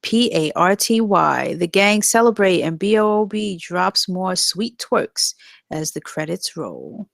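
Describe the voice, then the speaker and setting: A woman's voice: on the low side, very animated, unhurried, creaky, no room sound, clean studio sound. A woman reading out scripted stage directions as narrator at a table read.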